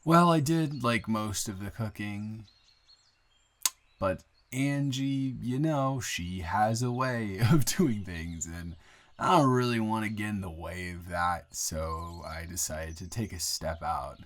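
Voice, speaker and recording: A friendly young man played by a male actor talks in a fairly low voice, as if to a close friend. He is conversational and halting, rambling and correcting himself in the loose, improvised way of an intimate roleplay audio. He comes across as an easygoing, grateful newcomer. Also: fairly bright tone. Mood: chatty, animated. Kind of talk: improvised first-person roleplay audio, casual chat. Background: bird and outdoor ambience sound effects.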